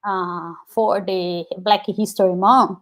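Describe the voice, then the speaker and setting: A woman's voice: medium pitch, fairly even intonation, slow pace. A woman in her thirties from Brazil with a Brazilian Portuguese accent, telling her personal story as an interview guest, earnest and reflective, with frequent "like"s. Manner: earnest, reflective, many filler "like"s. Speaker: guest, activist and scholar.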